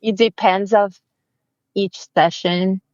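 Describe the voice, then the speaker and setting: Brazilian-accented woman, interview guest; warm, chatty, unhurried, dull dry recording. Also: medium pitch, steady tone, no echo. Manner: friendly, chatty, many fillers and restarts. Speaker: family photographer, guest. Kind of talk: casual interview chat.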